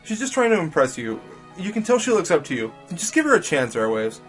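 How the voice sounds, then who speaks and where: A man's voice: fairly high pitch, very animated, brisk, a bit bright, faint music behind. A man playing an easygoing, encouraging pony colleague in a radio play. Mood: reassuring. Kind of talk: amateur radio play acting.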